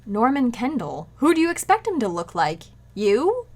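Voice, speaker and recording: A brisk, wry housewife from a radio sitcom played by a woman. The voice is high and very animated, on a noisy recording with clicks. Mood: matter-of-fact, puzzled. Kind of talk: old-time radio comedy acting.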